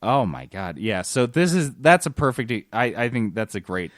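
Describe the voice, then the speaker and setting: A man's voice: lowish voice, very animated, normal speed, dry recording. Close, dry-sounding man chatting casually. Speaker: podcast co-host. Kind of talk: casual gaming chat.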